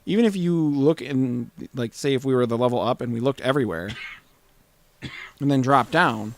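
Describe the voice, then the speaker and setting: A man with an average-pitched voice banters casually while gaming. He drops wry, joking asides as a co-host, and there is light noise behind him on the home recording. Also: moderate pace. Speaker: gaming video co-host and player. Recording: slightly noisy home gameplay recording.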